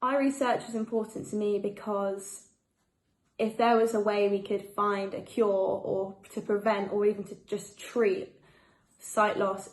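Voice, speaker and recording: 18 yr old woman, earnest and steady, pretty flat tone, roomy echo. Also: medium pitch. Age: late teens. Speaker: charity supporter. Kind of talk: personal testimony.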